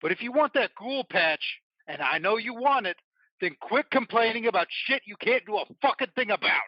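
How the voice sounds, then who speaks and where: Man acting a tough high-pitched biker. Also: animated, moderate pace, no room sound, highs cut off, exaggerated voice. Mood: goading. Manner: blunt, combative, swearing. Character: tough, foul-mouthed male biker, bossy and goading. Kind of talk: audio play acting.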